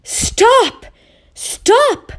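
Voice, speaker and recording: A woman voicing an excitable, eager young male dock helper in a children's storybook, calling out in exclamations. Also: very high-pitched, very slow, voice pushed up, full character voice. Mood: alarmed.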